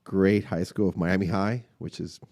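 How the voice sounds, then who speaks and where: A man from Cuba in his late forties, a restaurant owner telling his story as a guest, relaxed and hesitant with many fillers. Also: low-pitched, moderate pace. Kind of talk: interview answers, personal story. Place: podcast room in a restaurant.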